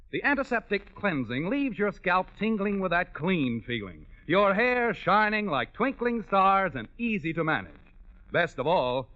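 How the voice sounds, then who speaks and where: A polished man announces a scripted commercial in vintage 1940s radio style. His salesman's delivery is high and very lively in pitch. The recording is thin and tinny, like an old set.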